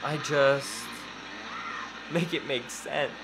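A man's voice: medium pitch, slow, over music, low-quality recording. A young man, co-hosting a film reaction in a casual, campy and sassy way, swearing and exclaiming. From Texas. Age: young adult. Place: home room with bookshelves, indoors.

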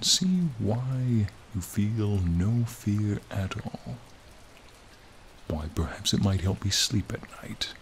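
A man plays a frost dragon with a gravelly, breathy voice that often drops to a whisper. He speaks very slowly and with courtly charm, both menacing and friendly, in an ASMR roleplay. Rainy ambience runs behind him. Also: fairly low pitch, full character voice. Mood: courteous, curious. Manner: slow, courtly, deliberate. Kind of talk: ASMR roleplay, dramatic monologue. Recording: steady rain-like ambient noise.

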